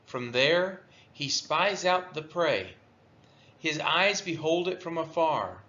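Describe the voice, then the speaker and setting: Slow, expressive man reading scripture aloud. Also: on the high side, highs cut off. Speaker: scripture reader. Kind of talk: devotional Bible reading aloud.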